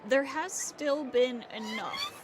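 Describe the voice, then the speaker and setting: Slow-talking woman streamer, lively pitch. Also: over a noisy crowd. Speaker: game livestreamer. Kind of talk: casual livestream chat and game commentary. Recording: loud game music behind. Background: loud game music.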